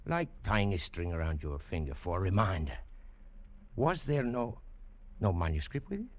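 An actor playing a courteous, scholarly male museum curator, speaking in a measured, deliberate way in a 1950s radio drama. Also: low voice, sing-song. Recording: dull old radio broadcast.

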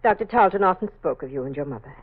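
A woman playing a guarded doctor's assistant in 1940s radio drama, measured and formal. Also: low voice, lively intonation, slightly rough. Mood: composed, somber. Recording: thin, muffled old radio recording.

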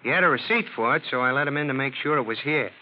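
An actor playing a dim, self-satisfied police sergeant in a 1940s radio drama, with slangy, ungrammatical talk. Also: male voice, mid-range voice, racing, lightly acted. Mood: matter-of-fact. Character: dim, cocky, uneducated police sergeant, comic sidekick. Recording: dull, noisy vintage radio recording.

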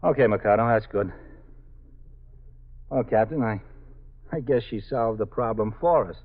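An actor playing a level-headed, loyal New York police sergeant in a 1950s radio drama. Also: male voice, fairly low pitch, normal speed, no echo. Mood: calm, dry. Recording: dull, band-limited 1950s radio recording.

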